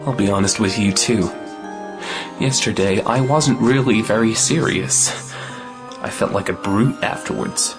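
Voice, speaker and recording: An actor playing an impetuous, possessive young gentleman suitor in an audio play, theatrical and emphatic. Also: on the low side, a little slow, music in the background, lightly acted. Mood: earnest. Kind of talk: audio play acting of a 1910s stage comedy.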